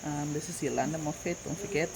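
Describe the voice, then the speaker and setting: A woman's voice: sits low, fast talker, crowd noise. A woman with a Guyanese accent chats casually to viewers in a home cooking vlog, thinking aloud and repeating herself. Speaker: cooking vlogger. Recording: noisy home recording with insect chirps.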